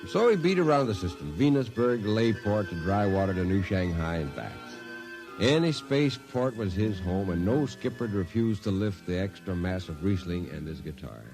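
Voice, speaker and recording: Weary male shipmate, American, low-pitched, reflective, vintage radio drama with music prominent underneath. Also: lively intonation, moderate pace. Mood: reminiscing. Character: world-weary male spaceman, old shipmate telling the tale. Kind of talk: old-time radio drama narration.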